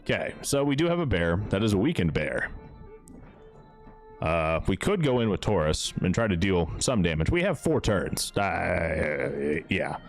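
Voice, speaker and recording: Deep smooth voiced guy streaming a game, chatty casual commentary, music playing behind him. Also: big pitch swings, a little slow. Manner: chatty, talks to his game characters as he plays. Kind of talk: casual live gameplay commentary.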